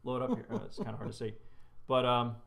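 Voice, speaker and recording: A man's voice: medium pitch, very animated, fast talker, vocal fry, a bit bright. A middle-aged man co-hosting a casual live stream, telling corny jokes and reading trivia questions aloud, recorded on a desk webcam indoors. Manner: corny joke delivery, reads trivia aloud. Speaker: co-host. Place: small shop room with framed wall display, indoors.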